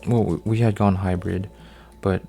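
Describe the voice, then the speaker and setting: A man in his twenties, an ASMR creator, rambling softly with lots of fillers and restarts while folding paper, sometimes dropping to a whisper. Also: low-pitched, fairly even intonation, moderate pace, creaky, a little hiss or hum. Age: 20s. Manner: meandering, full of fillers and restarts. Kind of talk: soft-spoken rambling ASMR.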